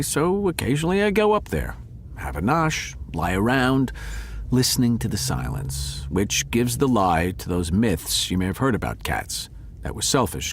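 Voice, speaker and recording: An actor voicing a sly, vain talking cat who narrates an audio drama in a wry, confiding manner. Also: male voice, on the low side, slight background noise.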